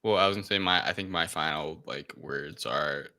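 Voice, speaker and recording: Very deep, sharp-edged male voice. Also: moderate pace. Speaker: podcast panelist. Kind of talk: casual film review discussion.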